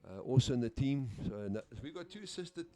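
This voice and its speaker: Guy doing sports commentary, laid back, lots of uhs, mellow voice. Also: medium pitch, lively intonation, fast. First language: Afrikaans. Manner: easygoing, chatty, with frequent uhs and name slips. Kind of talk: live play-by-play commentary.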